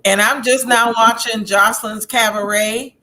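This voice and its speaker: Female, American, sharp bright tone, chatty and giggly, recapping like gossip among friends. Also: fairly high pitch, fairly even intonation, normal speed, poor audio. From United States. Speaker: online video channel creator. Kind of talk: casual chat, gossipy recapping.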